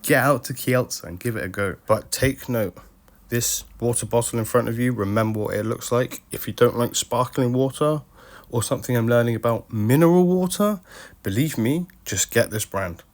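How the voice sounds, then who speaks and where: A man's voice: mid-range voice, normal speed. A young British man narrating a travel vlog with dry, self-mocking humor. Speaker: travel vlogger.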